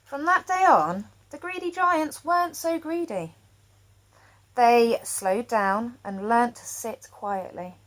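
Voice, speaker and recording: A woman storyteller reading a children's picture book aloud expressively, with dramatic pauses. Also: a little high.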